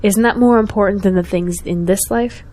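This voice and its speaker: A woman's voice: mid-range voice, normal speed, mellow, close to an everyday voice. A young woman playing a principled, outspoken schoolteacher in an audio drama, earnest and pointed. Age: young adult.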